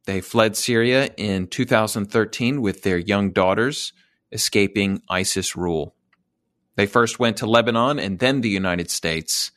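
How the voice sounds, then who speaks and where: Low-voiced earnest male podcast host. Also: fairly bright tone, no echo, studio quality. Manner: earnest, measured. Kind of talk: podcast interview hosting.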